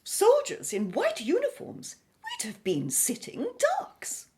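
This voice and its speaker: Woman to play brisk lady airship captain for radio play: very high, slow, theatrical delivery, inquisitive. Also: huge pitch swings, a little mellow, full character voice. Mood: brisk, dry. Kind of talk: audio drama acting.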